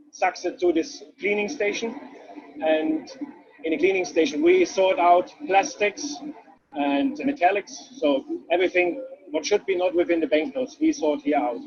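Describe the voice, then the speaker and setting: High-voiced man with a Swiss accent. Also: muffled recording, background noise. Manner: plain explaining, with non-native phrasing. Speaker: paper mill guide. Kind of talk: guided factory tour.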